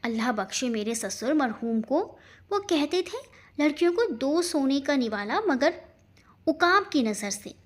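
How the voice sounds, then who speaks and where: A woman voicing a stern, sarcastic middle-aged aunt in a story, with a sharp, scolding manner. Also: high-pitched, expressive, measured pace.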